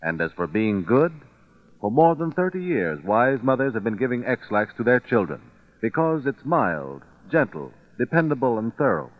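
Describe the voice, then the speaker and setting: A man delivering a scripted old radio advertising pitch, coaxing the listener directly. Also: sing-song, moderate pace. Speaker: commercial announcer. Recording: muffled, dry vintage radio recording.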